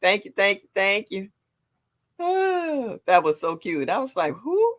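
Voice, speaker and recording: A woman in her sixties from with a deep, low voice, chatting casually on a livestream, greeting viewers and laughing often. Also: big pitch swings, measured pace. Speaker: livestream host. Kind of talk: casual chat reading viewer comments.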